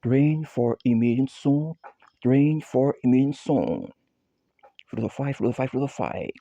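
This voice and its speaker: A Brazilian man with a Portuguese accent speaking halting English in a slow, repetitive, chant-like monologue. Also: mid-range voice, animated, vocal fry, warm tone, no echo, highs cut off.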